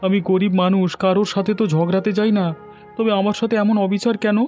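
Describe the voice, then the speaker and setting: A man acts out a poor, meek villager for a Bengali cartoon. His high, mellow voice pleads quickly and submissively over faint music. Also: flat delivery, natural voice. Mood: pleading. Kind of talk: Bengali cartoon story acting.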